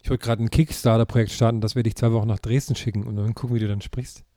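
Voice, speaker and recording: A man's voice: medium pitch, level tone, rapid-fire, mellow, dry recording. A man chatting casually as a co-host, teasing and prodding the others. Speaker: show co-host. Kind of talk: casual chat.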